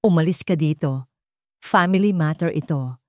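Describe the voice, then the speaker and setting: A woman's voice: low voice, normal speed, no echo, clean studio sound, natural voice. Woman voicing an imperious old rich matriarch. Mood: mocking. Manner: curt, scornful, demanding. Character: imperious, impatient old wealthy matriarch with aching hips.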